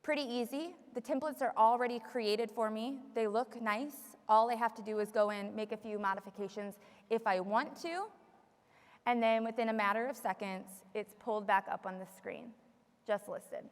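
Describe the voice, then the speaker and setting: A woman leading a live software tutorial as a trainer, explaining step by step to a room. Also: on the high side, normal speed. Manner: explanatory, repeats audience questions for the room. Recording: long echoey room reverb.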